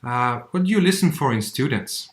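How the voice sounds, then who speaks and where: A man's voice: mid-range voice, animated, normal speed. A man co-hosting a casual interview chat, asking questions with friendly short replies. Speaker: co-host. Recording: roomy echo.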